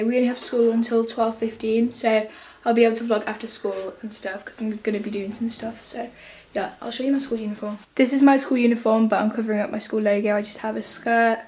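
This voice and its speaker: A teenage girl from England chatting casually in a vlog, her voice croaky from illness, rambling with lots of fillers, filmed at home on her phone and then her camera. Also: a little high, darkish tone. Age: teens. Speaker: vlogger. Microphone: handheld phone, then camera.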